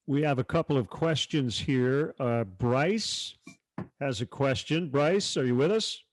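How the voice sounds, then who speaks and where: Man, mid pitch, panel moderator, conversational, fielding questions, dry sound. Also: lively intonation, a little slow, no echo. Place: virtual panel over video call.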